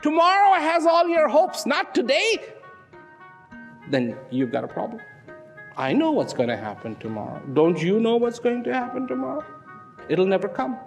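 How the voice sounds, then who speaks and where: A man giving a reflective public talk, slow and emphatic, swinging from loud declarations to hushed, almost whispered lines. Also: extremely high pitch, lively intonation, gravelly. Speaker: speaker. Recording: long hall reverb with faint music.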